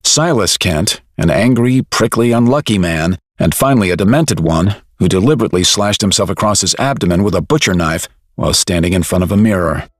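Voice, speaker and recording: Deep-voiced man narrating an audio drama. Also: lively intonation, no room sound. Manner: measured, literary, reflective.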